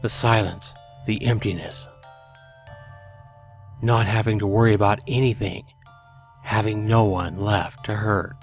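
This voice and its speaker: A man's voice: fairly low pitch, steady tone, a little slow, long pauses, faint music behind. A man voicing a sinister, coaxing boy's reflection in a visual novel game, speaking from under a river. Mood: eerie, coaxing.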